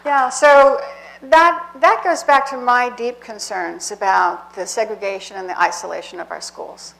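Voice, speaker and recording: A woman on a university panel speaking earnestly and deliberately about her school years and about education. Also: fairly high pitch, fairly even intonation, vocal fry, fairly bright tone, a little hiss or hum, low-quality recording. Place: lecture hall panel.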